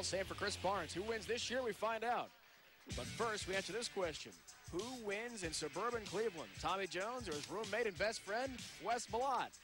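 A man doing play-by-play on a televised bowling match, brisk and upbeat, over music and crowd noise. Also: high-pitched. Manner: brisk, upbeat promo delivery. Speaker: play-by-play announcer. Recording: broadcast mix with music and crowd.

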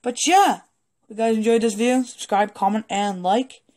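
A woman who is a little sick and hoarse, rambling casually and hesitantly through a toy collection review with some swearing, recorded on a handheld phone at a table indoors. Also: on the high side, normal speed, darkish tone. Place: indoors at a wooden table in a home room.

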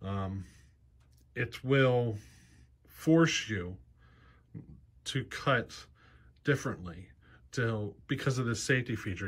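Plain-spoken man explaining in a workshop. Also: medium pitch. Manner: plain-spoken, practical, with frequent ums and you-knows. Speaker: chainsaw channel host. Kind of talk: casual how-to explanation. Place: cluttered workshop garage, indoors.

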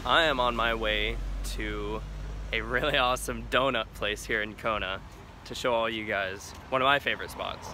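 A young man in his twenties talking casually to a handheld camera, relaxed and rambling, outdoors and later in a small cabin. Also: fairly low pitch, normal speed, very noisy. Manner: relaxed, rambling, self-amused. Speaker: cruise ship musician vlogger. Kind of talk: casual vlog chat. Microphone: phone camera at arm's length.

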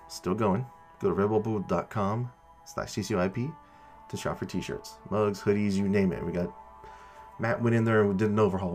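Low-voiced male podcast host over music. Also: a little mellow. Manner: casual, mild cursing. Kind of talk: informal podcast outro announcement. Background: background music.

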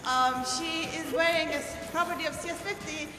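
A woman's voice: high voice, steady tone, brisk. A woman playfully introducing people one by one as emcee of a fashion show in a lecture hall. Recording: echoey hall with music behind.

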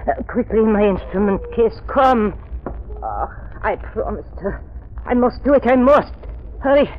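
On a dull, noisy 1930s radio recording, an old doctor with a high voice. He is fervent and anxious, speaking in trembling, halting bursts of theatrical drama. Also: lively intonation. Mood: urgent, trembling. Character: elderly, anxious, obsessive male scientist doctor, trembling and fervent.

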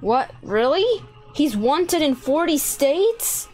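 A young woman hosting a gaming video, narrating and chatting through a roleplay in an exclamatory, animated way. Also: high voice, slow pace, faint music behind, low-quality recording. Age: young adult. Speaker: YouTube gaming host.

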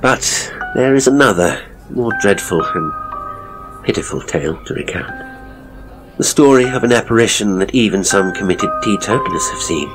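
A man's voice: lowish voice, expressive, normal speed, music in the background, lightly acted, poor audio. A man playing a hapless, seedy ghost tour guide in an audio horror story, with a British accent and a pompous, florid, leering manner. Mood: grave storytelling.

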